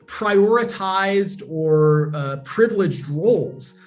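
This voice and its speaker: A man's voice: high-pitched, expressive, slow, faint music behind. A man, a guest on a remote interview, patiently explaining technology with everyday examples. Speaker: guest, blockchain educator. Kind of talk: casual explanatory interview talk.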